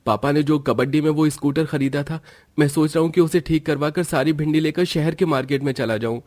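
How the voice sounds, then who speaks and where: Irritable young husband acted by a man, raised pitch. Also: a little fast, darkish tone, no room sound, close to an everyday voice. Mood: annoyed, planning. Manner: argumentative, exasperated. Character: irritable, worrying young village husband and son. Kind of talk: Hindi cartoon story dialogue.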